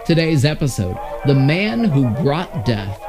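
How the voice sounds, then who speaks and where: A man's voice: mid-range voice, big pitch swings, measured pace, music in the background. A man presenting an old-radio showcase in scripted narration.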